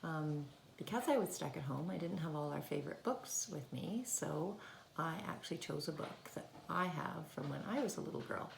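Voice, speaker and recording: A woman's voice: sits low, lively intonation, normal speed, creaky. A woman teacher talking and reading a story to young children in simple, child-directed language, recorded indoors at home. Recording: roomy home recording with faint noise.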